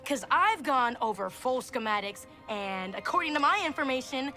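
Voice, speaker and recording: A woman's voice: high voice, lively intonation, normal speed, sharp tone, faint music behind. An actress playing a sarcastic, rebellious eighteen-year-old girl in a video game cutscene. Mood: defiant. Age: young adult. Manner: sarcastic, valley-girl fillers.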